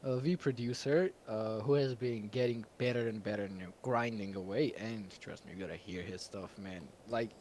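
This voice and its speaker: A man's voice: fairly low pitch, normal speed, few pauses, darkish tone, slight background noise. A man whose first language is Georgian, hosting a stream in casual chat, laughing often and rambling with fillers. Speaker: stream host.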